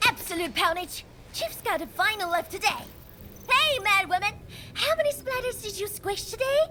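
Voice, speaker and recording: Very high, brash little catgirl fighter. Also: normal speed, background noise. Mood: excited. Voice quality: childlike, high. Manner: cocky, excitable, exclaiming. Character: brash, boastful small feline girl fighter, hot-headed and playful. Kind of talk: anime game voice acting. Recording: loud game music behind.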